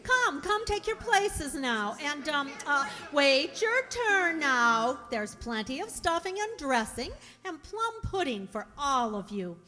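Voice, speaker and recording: A woman's voice: high-pitched, very animated, slow pace, few pauses, bright, forceful tone, exaggerated voice. An actress playing a motherly, outspoken poor clerk's wife in a radio drama, fussing and emphatic. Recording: reverberant hall recording.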